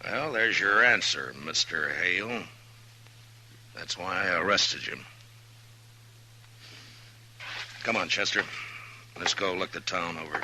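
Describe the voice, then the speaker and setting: An actor playing a tough, unhurried American frontier marshal in a 1950s radio western, blunt and coolly goading. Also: male voice, low-pitched, pushed and bright, a little hiss or hum, full character voice. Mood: coolly pressing.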